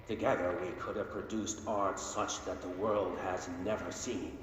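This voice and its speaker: An actor playing an arrogant, self-important male doctor who dictates research notes in a deliberate, pompous way for a video game. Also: low voice, steady tone. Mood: grandiose. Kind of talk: scripted video game monologue, audio log. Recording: echoey hall, long reverb, loud noise.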